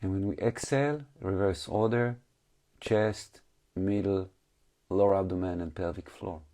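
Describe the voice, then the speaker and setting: Low, mellow man guiding meditation. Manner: calm, unhurried instruction in short phrases with long pauses. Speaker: meditation teacher. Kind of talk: slow guided meditation.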